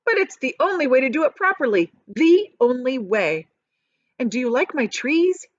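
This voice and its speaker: An eccentric, excitable male chocolate maker from a storybook, played by a woman reader. He boasts and exclaims with emphasis, his pitch leaping about freely. The sound is dry and a little muffled. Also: normal speed, no room sound. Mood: proud, excited. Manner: emphatic, exclamatory, repeats phrases for effect.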